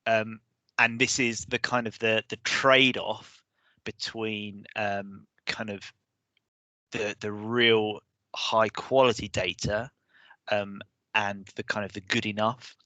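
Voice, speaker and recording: A man presenting an online course session, hesitant with many restarts, his voice going hoarse. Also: lowish voice, flat delivery, slow, bright, forceful tone, no echo, poor audio. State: losing his voice. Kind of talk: online webinar lecture.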